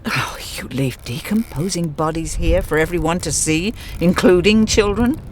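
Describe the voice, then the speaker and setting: A woman playing a principled, outspoken starship first officer in a science fiction audio drama, earnest and expressive. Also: on the low side, normal speed, a little hiss or hum, natural voice. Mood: appalled.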